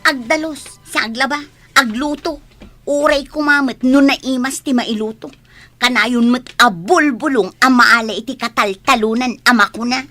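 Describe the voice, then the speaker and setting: A woman's voice: sits high, moderate pace, sharp tone, faint music behind. A woman playing a whiny, sulky daughter who grumbles over chores in a radio comedy drama. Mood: grumbling. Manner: complaining, sulky.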